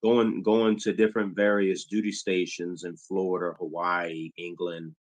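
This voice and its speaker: A middle-aged man from Chicago hosting a casual interview, talking in an unhurried way with lots of ums. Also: sits low, little pitch movement. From Chicago, USA. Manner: slow, unhurried talker with frequent ums. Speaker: podcast host.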